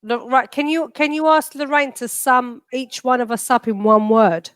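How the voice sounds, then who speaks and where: Playful higher-voiced woman teasing colleagues. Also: steady tone, normal speed, a bit bright, clear, resonant tone. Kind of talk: casual team chat.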